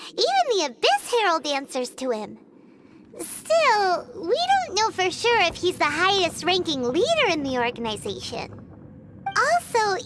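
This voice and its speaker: A woman voicing a small, perky, talkative fairy companion in a video game, with a squeaky childlike voice and exaggerated cute delivery. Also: noisy background, exaggerated voice. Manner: exaggerated cute delivery, talks of self by name. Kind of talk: video game character acting.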